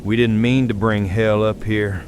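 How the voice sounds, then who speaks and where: A man's voice: steady tone, natural voice. A man playing a weary, middle-aged county sheriff, giving a grave, folksy emergency broadcast in a horror audio drama. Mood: resigned, grave. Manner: folksy, slow, resigned, grave. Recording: noisy survivor radio transmission, vehicle-like rumble.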